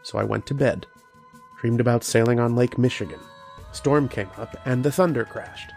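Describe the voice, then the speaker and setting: An actor playing an earnest, haunted archaeologist telling his uncanny tale in old-time radio horror style, with dramatic, deliberate pauses. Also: mid-range voice, vocal fry, a little mellow, faint music behind, close to an everyday voice. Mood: brooding, haunted. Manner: dramatic first-person storytelling with deliberate pauses.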